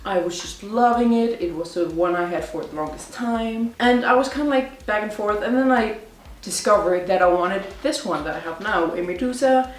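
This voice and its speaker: A woman's voice: medium pitch, moderate pace, recorded from a distance, a little hiss or hum. Chatty young woman in an echoey room. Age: 20s. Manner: chatty, rambling, with many fillers and self-corrections. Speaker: vlogger. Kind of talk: casual chat. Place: indoors at home.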